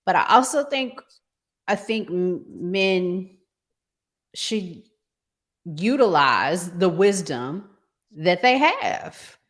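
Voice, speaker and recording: A woman hosting a relationship discussion in a conversational, deliberate way, pausing in the middle of her phrases. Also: lowish voice, big pitch swings. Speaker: podcast host.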